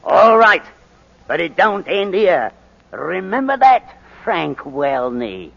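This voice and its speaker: A man's voice: sits high, big pitch swings, slow pace, vocal fry, fairly bright tone, soft background music, exaggerated voice. An actor playing a sly, falsely genial male blackmailer who wheedles and taunts in 1940s radio drama style. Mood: menacing. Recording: muffled, reverberant 1941 radio broadcast.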